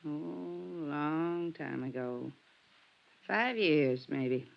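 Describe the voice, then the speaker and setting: A woman's voice: low-pitched, extremely slow, gravelly, exaggerated voice. An actress playing a weary, suspicious landlady of a shabby rooming house in a 1950s radio drama, speaking in terse, ungrammatical phrases. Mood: wary, curt. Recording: muffled 1950s radio recording.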